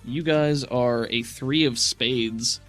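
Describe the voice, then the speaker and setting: A man with a mid-pitched voice works as game master for a tabletop role-playing game. He talks casually and describes the action in detail, with music playing behind him. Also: takes it slowly, fairly bright tone. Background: background music.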